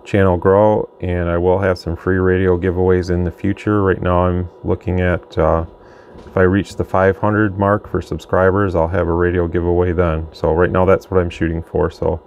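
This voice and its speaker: A man's voice: low-pitched, flat delivery, moderate pace, darkish tone, muffled recording. A man giving a casual, plain-spoken, rambling product demonstration as a channel host at his indoor workbench. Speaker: radio bench reviewer, channel host. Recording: faint background noise, room ambience.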